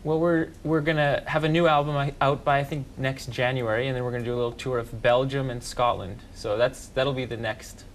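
A man's voice: mid-range voice, fairly quick, hardly any pauses, a little hiss or hum. Joking young man from western Canada. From West Coast of Canada. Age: young adult. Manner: joking, relaxed, many fillers. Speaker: band leader, guest musician. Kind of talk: casual interview chat. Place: TV news studio.

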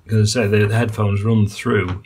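Gravelly older man at a workbench. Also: lowish voice, fairly even intonation, moderate pace, a little mellow, slight background noise. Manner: musing, technical, many ums and uhs. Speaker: electronics repair hobbyist. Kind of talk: hands-on repair walkthrough. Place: workbench indoors.

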